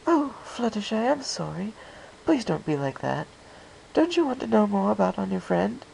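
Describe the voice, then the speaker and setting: A man's voice: high-pitched, very animated, normal speed, gravelly, darkish tone, a little hiss or hum, pitched above the performer's own voice, exaggerated voice, poor audio. A man voicing a flirtatious, refined female storybook pony with an airy, affected, purring manner. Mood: apologetic, coaxing. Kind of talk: storybook character voice in fan fiction reading.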